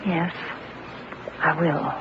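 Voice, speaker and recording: A woman's voice: sits low, little pitch movement, drawn-out words. An actress playing an anxious, proper young British mother in a radio drama, earnest and fretful. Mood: worried. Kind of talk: radio drama dialogue and first-person narration. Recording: lo-fi radio recording, clear background noise.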